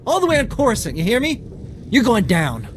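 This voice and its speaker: Shifty game informant, whiny, indignant, lively swooping pitch, faint background noise. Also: male voice, fairly quick, exaggerated voice. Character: aggrieved, shifty male camp informant fishing for payment. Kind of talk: video game voice acting.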